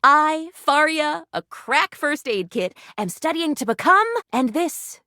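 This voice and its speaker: A woman's voice: high-pitched, animated, slow pace, bright, forceful tone, no echo, clean studio sound, exaggerated voice. A woman voicing an earnest, anxious first aid kit doctor in a video game, speaking in a theatrical, fussily formal, rambling way. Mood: excited, proud. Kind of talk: animated video game character voice acting.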